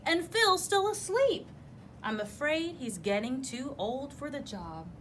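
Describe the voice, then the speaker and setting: A fretful, bossy aunt groundhog as a woman storyteller plays her in a children's storybook. She exclaims with very lively pitch and takes her time over the words. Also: unhurried, a little hiss or hum, exaggerated voice. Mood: worried.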